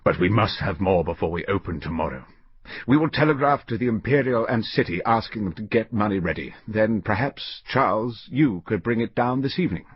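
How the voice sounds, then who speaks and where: Guy doing a grim old banker for an audiobook, low n husky, clipped and suspicious. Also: expressive, brisk, no echo, dull audio. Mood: resolute. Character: grave senior banker, old man, shrewd and resolute.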